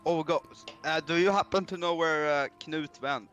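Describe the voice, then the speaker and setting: A man playing a tabletop game, talking casually and getting flustered and excited. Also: a little high, normal speed, soft background music. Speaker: player. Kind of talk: casual chat.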